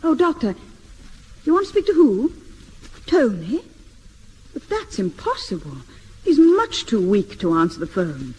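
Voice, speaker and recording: A woman's voice: fairly high pitch, wildly swooping pitch, unhurried, long pauses, darkish tone. An actress playing a doting, earnest woman, easily upset but able to turn bossy, in 1950s radio sitcom style. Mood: anxious. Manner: earnest, melodramatic. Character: doting, tearful woman who turns bossy when deceived. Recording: reverberant old radio recording, faint noise.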